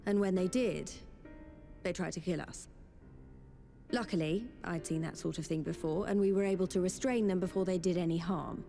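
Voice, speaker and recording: A woman playing a composed, matter-of-fact adventurer in a fantasy game, in a measured and serious manner. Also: lowish voice, vocal fry, warm tone. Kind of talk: fantasy video game voice acting. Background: orchestral game music with strings.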